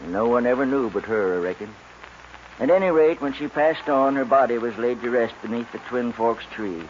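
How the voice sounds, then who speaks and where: An actor playing a folksy older cowboy who tells a legend in 1940s western radio drama style. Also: male voice, medium pitch, moderate pace, natural voice. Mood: wistful, folksy. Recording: muffled, noisy 1940s radio recording.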